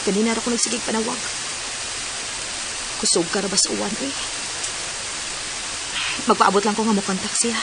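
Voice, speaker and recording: A woman's voice: mid-range voice, fairly even intonation, moderate pace, long pauses, heavy background noise, natural voice. An actress playing a young woman hurt and anxious over her boyfriend in a radio drama. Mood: hushed. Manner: emotional, pleading.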